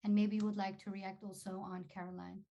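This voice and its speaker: A woman with a medium-pitched voice moderates a book event. She phrases her questions politely and carefully, in a measured way. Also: level tone, creaky, dark tone, muffled recording. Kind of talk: hosted panel questions.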